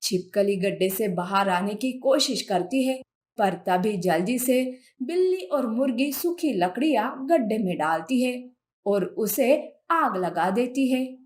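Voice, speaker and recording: Female narrator for children's fables: high pitch, very slow, measured delivery. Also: lively intonation, a little mellow. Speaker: storyteller.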